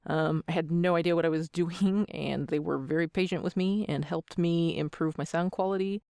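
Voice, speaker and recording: A woman's voice: fairly low pitch, fairly even intonation, normal speed, dark tone, smooth, dry recording, studio quality. A woman in her thirties answering interview questions as an amateur voice actor, with a joking, self-deprecating manner. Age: 30s.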